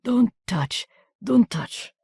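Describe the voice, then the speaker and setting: A theatrical baroness speaks in a coarse, guttural country voice, loud and commanding, as a woman narrator puts her on. She sounds rough and a little menacing. The storybook reading is dry and close. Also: on the high side, expressive, very slow, no echo, voice pushed up, exaggerated voice. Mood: coaxing.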